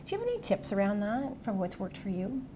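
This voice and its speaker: A woman's voice: medium pitch, sing-song, fast talker, noisy background. A woman interviewer asking questions in a friendly, encouraging way.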